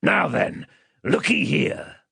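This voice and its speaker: A man voicing a rough, threatening English convict in an audiobook, growling out commands in rustic speech. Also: on the high side, animated, takes it slowly, bright, forceful tone, no echo, pitched above the performer's own voice, exaggerated voice. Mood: menacing, demanding. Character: menacing rough male convict, ravenous, threatening a child. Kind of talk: dramatised audiobook reading.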